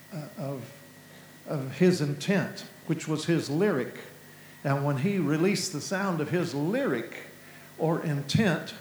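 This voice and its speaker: A man with a Southern American accent preaching a sermon in a folksy, rambling way, restarting phrases and filling pauses. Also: fairly high pitch, darkish tone, a little hiss or hum. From Southern United States. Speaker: guest preacher. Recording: echoey hall with faint ambience.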